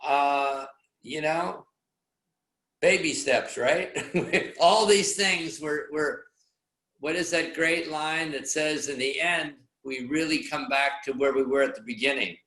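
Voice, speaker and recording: Male call host, chatty and reflective, slightly high and bright, in an echoey room. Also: takes it slowly. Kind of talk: casual video call chat. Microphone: video call.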